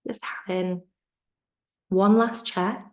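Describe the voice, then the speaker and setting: A woman teaching yoga nidra, guiding a relaxation in a soft, soothing way that sometimes sinks to a whisper, over a video call. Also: mid-range voice, fairly even intonation, takes it slowly. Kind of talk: guided relaxation instruction.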